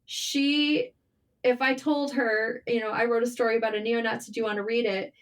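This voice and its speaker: A woman's voice: fairly high pitch, fairly even intonation, normal speed. A woman talking casually and candidly as an interview guest, telling stories in an informal, mildly sweary way. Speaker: interview guest, writer and journalist. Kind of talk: casual interview conversation, storytelling.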